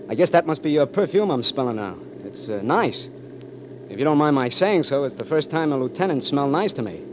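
A man's voice: on the high side, close to an everyday voice. An actor playing a young Marine veteran who has lost his sight, talking tough and streetwise in 1940s radio-drama style, on a noisy old broadcast recording. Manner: tough streetwise slang, gruff. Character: young blinded Marine veteran, bitter and scared.

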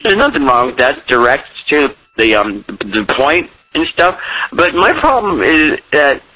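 A man's voice: fairly high pitch, very animated, unhurried, pushed and bright, no echo, rough recording. A drunk man rambling in a campy, comic way as he leaves a casual voicemail over the phone. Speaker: listener phoning in a voicemail.

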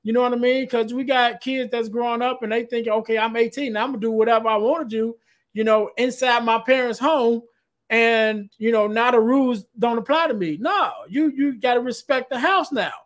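A man's voice: very high-pitched, fairly quick. A man hosting a podcast who talks about life lessons, full of "you know" fillers and a slightly preachy tone. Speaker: podcast host. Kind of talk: casual interview chat.

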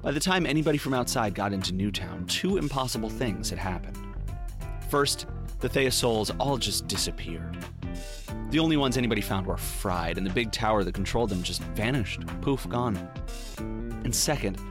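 A man's voice: lowish voice, animated, moderate pace. An actor playing a wry, world-weary private detective narrating his case in an audio drama. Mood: intense, suspicious. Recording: guitar music under the voice. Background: plucked guitar music.

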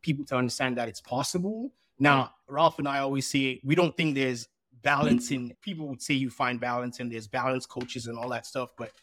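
A man's voice: mid-range voice, a bit bright, no echo. A man co-hosting a podcast, speaking in a rambling, conversational way as he builds up long questions. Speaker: podcast co-host. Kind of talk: podcast interview.

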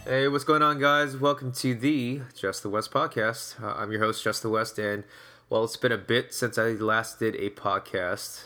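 Bright-voiced male sports podcast host. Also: medium pitch, steady tone, moderate pace, soft background music. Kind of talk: casual sports podcast talk.

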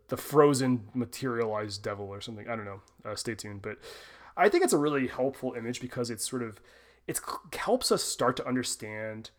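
A man co-hosting a podcast, reasoning through theology in a casual, hedging way. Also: mid-range voice. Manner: thoughtful, hedging with fillers. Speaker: podcast co-host. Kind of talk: casual scholarly discussion.

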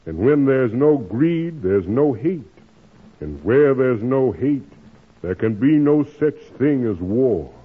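An actor playing a kindly, earnest preacher who gives moral counsel in a measured, sermon-like way, in 1940s radio drama style. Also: male voice, medium pitch, sing-song, husky, full character voice. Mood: earnest, preaching. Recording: tinny old radio broadcast.

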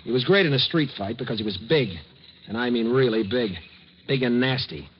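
Through a muffled, noisy vintage radio recording comes a man acting as an anxious young ex-soldier. He talks quickly in a lowish voice, earnest and clipped, like a frightened client in a fifties detective show. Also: natural voice. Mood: tense, recounting. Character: frightened young war-veteran college student, earnest and shaken. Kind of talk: 1950s radio drama acting.

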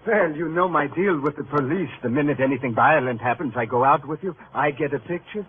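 An actor playing an eccentric crime photographer, a vain and morbidly rapturous artist, in a theatrical, savoring manner for a 1950s radio drama. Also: male voice, fairly high pitch, close to an everyday voice. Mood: matter-of-fact. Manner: theatrical, savoring, halting with excitement. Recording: tinny, faintly noisy old radio recording.

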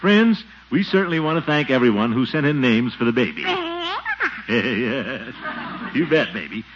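A man's voice: medium pitch, expressive, normal speed, lightly acted. An actor playing a jolly, flustered middle-aged man who dotes on a baby, theatrical and chuckling, in a 1940s radio comedy. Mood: jovial, grateful. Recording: noisy, dull 1940s radio recording.

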